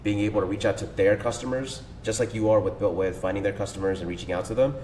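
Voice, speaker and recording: A man's voice: steady tone, quick pace, a little mellow. A man talking casually as a podcast guest, enthusiastic and slangy, with lots of fillers. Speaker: cold email agency owner, guest. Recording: echoey room, distant mic, faint rumble.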